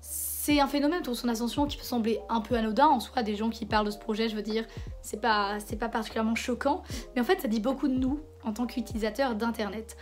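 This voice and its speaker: Hoarse woman talking to camera. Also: on the high side, steady tone, fast talker, faint music behind. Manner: conversational, hesitant fillers and restarts. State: hoarse from a cold. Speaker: video essayist host. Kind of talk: casual commentary video essay.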